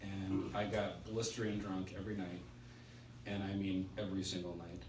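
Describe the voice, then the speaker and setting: A middle-aged man with a stutter gives a personal testimony at a recovery meeting, speaking in halting, spaced-out phrases with pauses. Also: low-pitched, level tone. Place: indoor meeting room. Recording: roomy, distant mic with background hiss.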